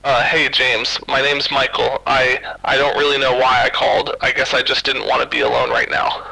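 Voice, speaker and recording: Man acting as scared radio caller, very bright strained voice, narrow pitch, hesitant, despairing, radio drama. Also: little pitch movement, moderate pace, gravelly, heavily acted. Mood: uneasy, frightened. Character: frightened, resigned male late-night radio caller. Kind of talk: radio drama phone call.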